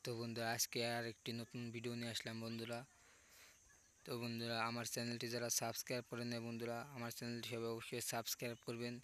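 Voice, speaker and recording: A man's voice: mid-range voice, completely flat delivery, fast talker, a bit bright, clean tone. A man hosting a video channel, speaking casually and repetitively to viewers as friends. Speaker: YouTube channel host.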